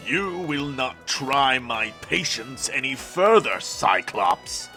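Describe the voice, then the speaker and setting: A menacing, proud sea warrior prince in an audio drama. He speaks in grand, formal threats with a forceful, sharp voice and dramatic rises and falls. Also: medium pitch, big pitch swings, slow, soft background music, full character voice. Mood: imperious anger. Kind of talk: cinematic audio drama acting.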